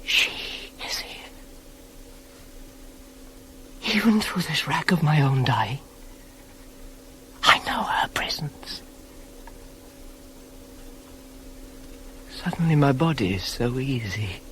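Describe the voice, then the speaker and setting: An anguished dying young prince, hissy tape. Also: male voice, mid-range voice, lively intonation, unhurried. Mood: weak, drained. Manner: declamatory, swinging from hushed gasps to anguished cries. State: weak and in agony. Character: dying young prince, wronged, proud and bitter. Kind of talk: classical tragedy radio acting. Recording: hissy 1980s cassette of radio play.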